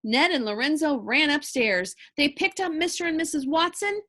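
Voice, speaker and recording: A woman reading a children's book aloud in an animated, deliberate storybook delivery. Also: sits high.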